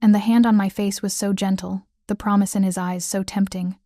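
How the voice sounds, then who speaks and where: A woman narrating a first-person romance audiobook in a steady storytelling manner. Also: mid-range voice, a little fast, no echo, clean studio sound.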